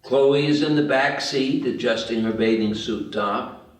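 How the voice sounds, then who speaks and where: A man's voice: medium pitch, a little slow. A man reading stage directions as the narrator of a screenplay table read. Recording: very echoey room, distant mic.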